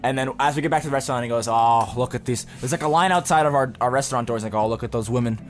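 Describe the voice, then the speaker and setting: A man's voice: medium pitch, racing, soft background music. A man telling a story casually on a podcast, laid-back and swearing freely. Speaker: podcast co-host.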